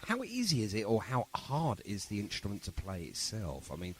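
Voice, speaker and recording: A man's voice: lowish voice, wildly swooping pitch, normal speed, slight background noise. A man hosting a chatty radio interview in a jovial, encouraging manner. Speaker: radio show host.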